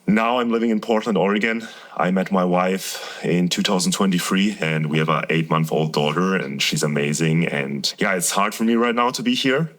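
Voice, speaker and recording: German tennis pro in his 30s, very low voice, candid rambling guest. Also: moderate pace, hardly any pauses, a bit bright. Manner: earnest, candid, rambling storytelling. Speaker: guest, professional tennis player. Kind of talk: casual interview talk.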